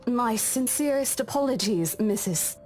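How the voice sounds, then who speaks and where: A woman's voice: on the high side, lively intonation, measured pace, darkish tone, soft background music. An actress playing a protective, firm young female coworker in a visual novel game. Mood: polite.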